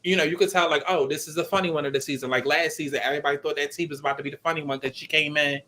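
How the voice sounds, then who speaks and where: A woman's voice: sits low, fairly even intonation, racing, bright, forceful tone. A woman hosting a casual call-in chat, talking agreeably and chattily about a reality show. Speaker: show host.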